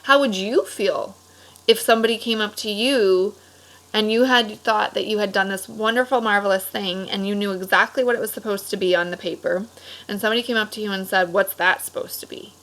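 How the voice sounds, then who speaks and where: A woman's voice: medium pitch, fairly even intonation, a little fast, fairly bright tone, poor audio. A woman speaking as an elementary teacher, leading a discussion for children in simple, encouraging words with frequent questions and check-ins. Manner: simple explanatory phrasing aimed at children. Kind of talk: read-aloud discussion lesson for children.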